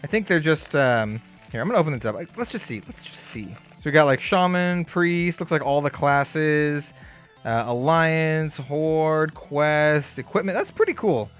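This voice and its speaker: A man hosting a card game channel, chatting casually through an unboxing, easygoing and upbeat with lots of fillers, his voice a bit hoarse. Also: a little high, animated, unhurried, a bit bright, soft background music. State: slightly hoarse.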